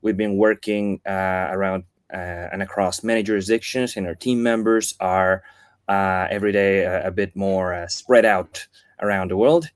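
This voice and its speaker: A man in his thirties with an Argentine accent, speaking as an interview guest, thoughtful and pausing to restart his sentences. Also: deep voice, fairly even intonation, smooth, no room sound, clean studio sound. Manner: thoughtful, with frequent restarts and fillers. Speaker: guest, foundation chief of staff. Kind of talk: casual interview talk.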